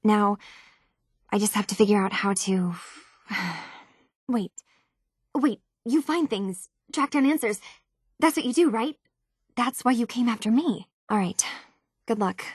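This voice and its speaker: A woman's voice: medium pitch, lively intonation, takes it slowly, pauses often, breathy edge, dry recording, studio quality. An actress voicing an earnest, inquisitive young woman synth in a video game, speaking in a hesitant, conversational way. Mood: hopeful. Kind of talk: video game character dialogue.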